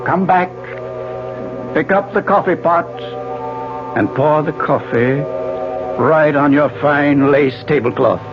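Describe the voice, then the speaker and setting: An actor playing an obsessive, secretive scientist husband in a 1970s radio drama, speaking in a deliberate, controlled, persuasive way. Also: male voice, on the high side. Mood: controlled insistence. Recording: muffled 1970s radio broadcast, music behind.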